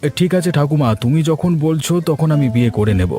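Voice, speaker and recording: A man's voice: on the high side, animated, fast talker, dark tone, natural voice. A man voicing a dutiful young fisherman grandson in a cartoon, agreeable and reassuring. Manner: reassuring, obliging. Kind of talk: Bengali moral-story cartoon dubbing.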